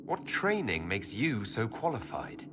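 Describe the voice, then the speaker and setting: A man playing a cautious, commanding leader of heroes in a fantasy game, speaking in a measured, serious way. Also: on the low side, huge pitch swings, background noise. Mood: challenging. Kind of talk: dramatic fantasy game acting.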